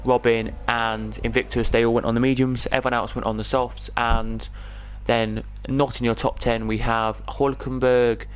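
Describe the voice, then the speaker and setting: Clear-voiced English man commentating sim racing. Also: medium pitch, flat delivery, moderate pace, hardly any pauses. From England. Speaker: esports race commentator.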